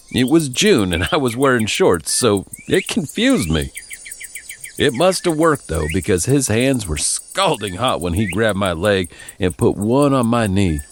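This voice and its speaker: Low, animated American male storyteller. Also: slight background noise. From Virginia, United States. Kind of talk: first-person narrated story.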